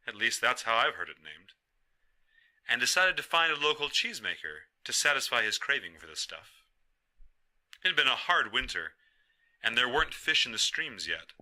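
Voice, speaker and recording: A man telling a folktale in a measured, emphatic storyteller's delivery. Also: medium pitch, pushed and bright. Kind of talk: dramatic folktale narration.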